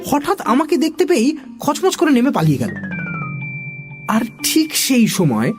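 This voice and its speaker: A woman's voice: high voice, huge pitch swings, normal speed, over music. A woman narrating a scripted comic story for children as the storyteller.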